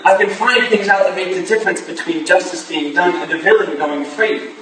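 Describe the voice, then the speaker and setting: A man's voice: sits high, a little fast, a bit bright. A man playing an earnest, idealistic Victorian husband who pleads and defends himself in a staged reading of a period drama. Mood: earnest, defensive. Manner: earnest, theatrical. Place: staged reading in a room, recorded from afar. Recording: distant echoey room, faint noise.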